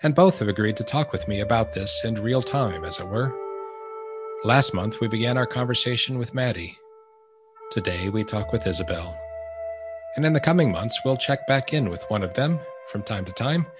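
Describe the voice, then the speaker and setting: A man with a fairly low voice hosts a podcast, measured and earnest as he reads and a little halting when he talks off the cuff. Music plays clearly behind him. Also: animated. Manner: measured, earnest, hesitant with fillers in conversation. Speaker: podcast host. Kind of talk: scripted intro then interview.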